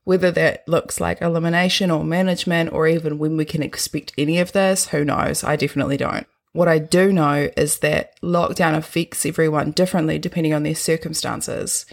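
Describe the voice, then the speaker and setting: A young woman with a New Zealand accent and a husky voice, hosting a podcast in an upbeat, earnest monologue. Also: sits low, fairly even intonation, brisk, hardly any pauses. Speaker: podcast host.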